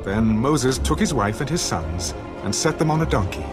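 Male scripture narrator, mid-pitched, lively intonation, dramatic scripted read over a clear score. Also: normal speed. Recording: music behind the narration.

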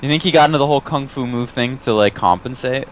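A man's voice: medium pitch, fast, a little hiss or hum. A man co-hosting a video game session, trading wry, crude jokes in casual banter. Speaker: gameplay co-host. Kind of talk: casual let's-play banter.

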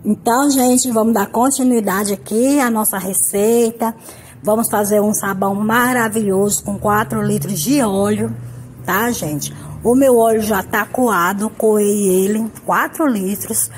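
A woman from Brazil hosting a home soap-making tutorial, hoarse from a cold, talking in a chatty, affectionate way. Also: medium pitch, steady tone, unhurried, a little hiss or hum. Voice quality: husky. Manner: chatty, affectionate, repeats "tá, gente?". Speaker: soap-making channel host. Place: home kitchen counter. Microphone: phone camera on the table.

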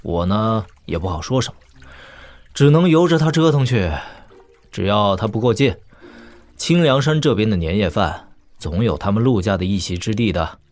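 An actor playing a young prince in an audio drama, talking in a casual, wry, teasing manner. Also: male voice, on the low side, lively intonation, normal speed, a little mellow, close to an everyday voice. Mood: wry, confiding.

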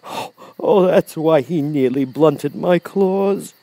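A man voicing a big cowardly lion from a storybook, ashamed and easily astonished, with a drawn-out, halting delivery. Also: a little high, warm tone, dry recording, pitched above the performer's own voice. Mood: astonished, curious. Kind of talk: storybook character voice.

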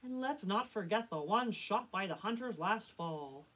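Storybook casting: woman playing a cocky drake in charge of the flock, blustery, mid-pitched. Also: expressive, measured pace, a bit bright, breathy edge. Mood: mocking. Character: brash, mocking male duck, leader of the flock.

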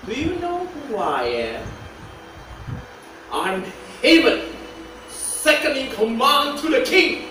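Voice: sits high, wildly swooping pitch, takes it slowly, pauses often, slight background noise, heavily acted. An actor playing a vain, scheming royal official in a stage play, theatrical, menacing and gloating. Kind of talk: live stage play acting. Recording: echoey stage from far off, loud music. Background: loud music.

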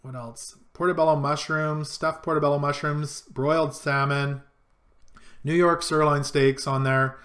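A man's voice: fairly high pitch, measured pace. Chatty man walking through a tutorial. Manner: relaxed, listing items with frequent ums. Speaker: cruise vlogger. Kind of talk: casual screen-share tutorial. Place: small home office indoors.

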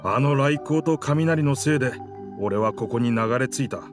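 A man's voice: medium pitch, normal speed, music in the background, natural voice. A man voicing a game character, the proud, wistful spirit of an old pirate warship, with rugged, old-fashioned, theatrical speech. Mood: bitter, sorrowful.

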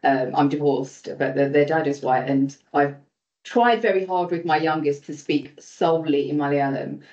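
A woman with an East London accent introducing herself casually at a round-table meeting, chatty and lively. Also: deep voice, normal speed. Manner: chatty, lively, with quoted asides. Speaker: panelist. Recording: echoey room, dull lo-fi sound.

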